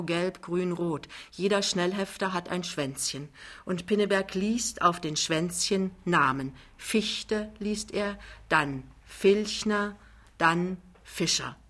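Female narrator for literary fiction, slightly low voice, scripted storytelling read. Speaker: narrator, reader.

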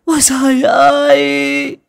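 A man puts on a melodramatic village stepmother for a ghost story. Her voice is a very high, shrill falsetto. She keens loudly in drawn-out, sobbing cries of fake grief. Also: completely flat delivery, vocal fry, exaggerated voice. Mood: anguished lament. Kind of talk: dramatized ghost story reading.

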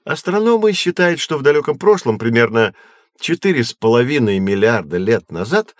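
An actor playing a patient, knowledgeable man who explains astronomy to children in a measured, reassuring way in an educational audio drama. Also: medium pitch, big pitch swings, no echo, studio quality, natural voice. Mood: explanatory, patient.

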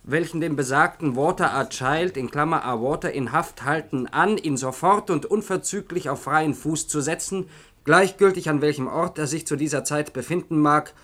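An actor playing a self-important official in a German radio play, with a formal, declamatory delivery. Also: male voice, on the high side, moderate pace, fairly bright tone. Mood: solemn, official.

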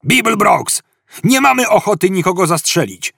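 A man's voice: high voice, big pitch swings, moderate pace, creaky, fairly bright tone, no room sound, studio quality, pitched above the performer's own voice, exaggerated voice. Man acting a bellowing, self-righteous space cop. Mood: threatening. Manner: bellowing, earnest speechifying. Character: megaphone-wielding space policeman, indignant, self-righteous, wordy.

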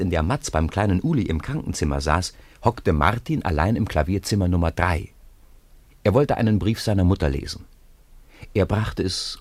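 Low, husky male storyteller. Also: a little mellow, dry recording. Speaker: narrator. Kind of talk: scripted storytelling narration for a radio play.

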